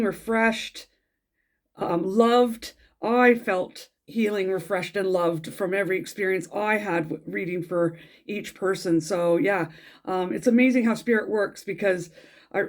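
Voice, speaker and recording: A middle-aged Englishwoman, a tarot reader, chatting in a casual vlog with a croaky throat from a cold, rambling and gushing with many ums and restarts. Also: medium pitch, a little mellow. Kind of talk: casual weekly vlog chat.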